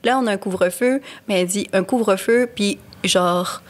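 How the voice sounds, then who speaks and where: A woman's voice: medium pitch, normal speed. A young woman co-hosting a podcast, chatting casually, laughing easily and mixing in English words. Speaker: podcast co-host. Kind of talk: casual chat.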